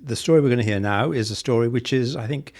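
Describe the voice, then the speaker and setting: A man's voice: medium pitch, fast, darkish tone. A man hosting an arts radio programme, introducing items and interviewing a guest.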